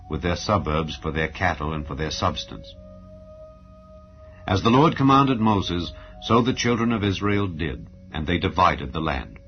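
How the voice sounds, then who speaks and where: A man with a very low voice reads scripture in a solemn, formal style. His phrasing is measured and declamatory, as a Bible narrator's. The recording is somewhat muffled and lo-fi, with background music under the voice. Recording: dull lo-fi audio under music.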